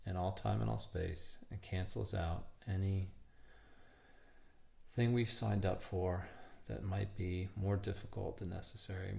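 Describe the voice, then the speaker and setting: A man leading a guided meditation in a calm, measured way, in short phrases with long pauses. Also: deep voice, gravelly.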